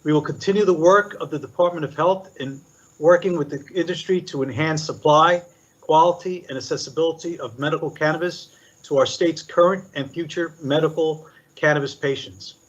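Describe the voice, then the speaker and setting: Older man giving formal remarks, lo-fi. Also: fairly high pitch. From Puerto Rico. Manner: measured, deliberate delivery with listed points. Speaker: commissioner. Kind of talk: formal prepared statement at a public meeting. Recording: dull lo-fi meeting recording.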